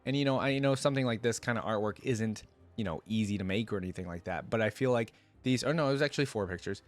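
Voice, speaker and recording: A man's voice: fairly low pitch, quick pace, soft background music. A man hosting a reaction video, chatting casually as he comments on game lore and abilities.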